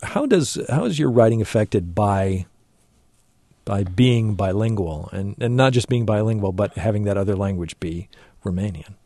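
A man hosting a call-in radio show, asking gentle, hesitant questions. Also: lowish voice, animated, moderate pace, no echo. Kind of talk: radio interview.

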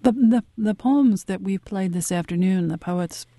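A woman's voice: medium pitch, unhurried, warm tone, no echo. A woman hosting a radio talk show, taking calls with short, easygoing replies.

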